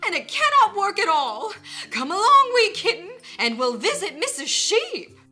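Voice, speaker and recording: A woman voicing a fretful, dramatic mother cat with meows and drawn-out exclamations, for a children's storybook. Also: extremely high pitch, big pitch swings, pushed and bright, faint music behind, exaggerated voice. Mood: weary dismay.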